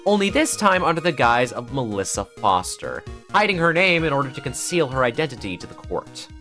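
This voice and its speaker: A man with a mid-pitched, animated voice narrates a video essay. His delivery is earnest and scripted with dramatic emphasis, over faint guitar music. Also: a little fast.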